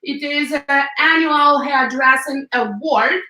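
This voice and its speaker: Ukrainian-accented woman guest, high bright voice, echoey, a bit off-mic on a call. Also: slow pace, distant mic. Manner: fillers and non-native grammar, laughs often. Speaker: guest, hairstylist. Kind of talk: interview answers, telling her story.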